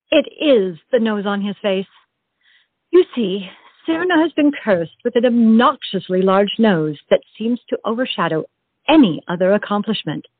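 Animated, husky woman narrator. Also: medium pitch, dry recording. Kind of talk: theatrical scripted narration of a comic play. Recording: tinny phone-like sound, music behind. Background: music.

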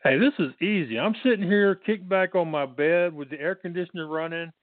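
A man's voice: a little high, brisk, no echo. Chatty Texan man on a phone line. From Texas. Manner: chatty, frequent restarts and fillers. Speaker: guest author. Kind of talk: casual interview. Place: bedroom at home.

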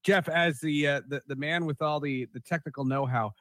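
A man hosting a podcast in a casual, conversational way, often halting and repeating his words as he thinks. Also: fairly high pitch, a bit bright, no echo, clean studio sound. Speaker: podcast host.

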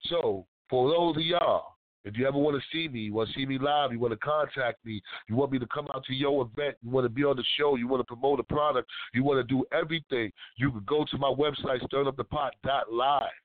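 A man's voice: medium pitch, fairly quick, no echo, phone-quality sound. A man hosting his own radio show from his studio, talking in a loose, slangy patter with a stuffy nose from a cold. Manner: laid-back slangy patter. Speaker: radio DJ host. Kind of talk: casual radio show talk.

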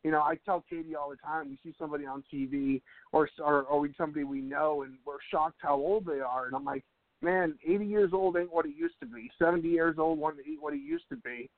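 A man's voice: fairly high pitch, a little fast, creaky, no room sound. A man in his mid-thirties talking casually on a radio show, often restarting his sentences and laughing easily. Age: 30s. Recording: dry, tinny phone-like line.

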